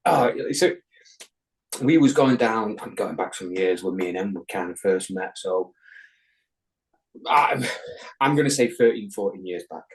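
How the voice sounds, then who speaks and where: Deep-voiced Englishman telling a rambling story. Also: lively intonation, unhurried, long pauses, low-quality recording. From England. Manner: rambling, swears constantly, many ums and restarts. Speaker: podcast guest. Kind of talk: casual chat, telling an anecdote.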